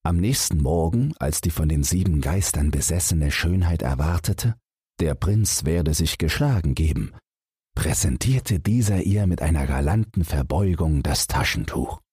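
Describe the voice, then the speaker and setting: A man narrating a bedtime fairy tale as an audiobook reader, in a measured, dramatic storytelling manner. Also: extremely low pitch, animated, dark tone, breathy edge, no room sound, clean studio sound.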